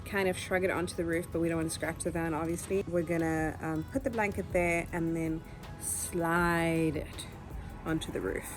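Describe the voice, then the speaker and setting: A woman's voice: fairly low pitch, fairly even intonation, normal speed, music in the background. A woman chatting and explaining casually while working outdoors on a van roof. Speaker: van-life vlogger.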